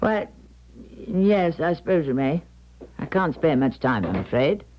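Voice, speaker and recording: An actress playing a weary, proud medium in a 1970s radio drama, speaking formally and with precise, dramatic diction. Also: female voice, low voice, big pitch swings, unhurried, slight background noise. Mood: firm, guarded. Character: weary, proud female clairvoyant medium, theatrical and firm. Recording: dull 1970s radio broadcast recording.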